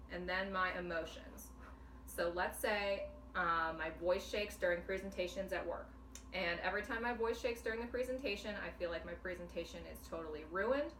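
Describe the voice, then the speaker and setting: A calm, patient woman guiding a client through a counseling exercise, explaining each step clearly. Her voice is recorded from a distance in a roomy, echoing space, with a faint background hiss. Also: lowish voice. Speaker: clinician. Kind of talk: demonstration counseling session. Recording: echoey room, mic at a distance, faint noise.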